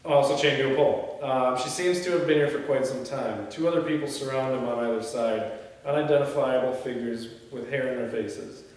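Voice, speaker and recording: A man's voice: mid-range voice, fairly quick, a little mellow. A man at a table read, reading screenplay directions and chatting casually with the group. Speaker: script reader at a film table read. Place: echoey indoor room. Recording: very echoey room, far from mic.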